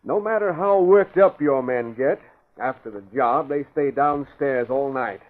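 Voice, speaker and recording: An actor playing an older crime boss who gives calm, bossy orders, with a husky voice, in 1940s radio acting. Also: male voice, medium pitch, measured pace, lightly acted. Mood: commanding. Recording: tinny old radio broadcast.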